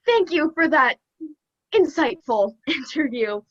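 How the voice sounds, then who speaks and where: A woman's voice: high voice, sing-song, slow pace, lo-fi sound, exaggerated voice. A young woman playing a wry, showy radio announcer in a spoof of old-time radio, over a video call. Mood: wry, brisk. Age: teens to young adult.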